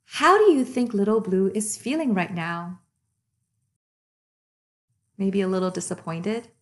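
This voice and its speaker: A woman hosting storytime, reading a children's picture book aloud in a deliberate, expressive way to young listeners. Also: medium pitch. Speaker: storytime host and reader.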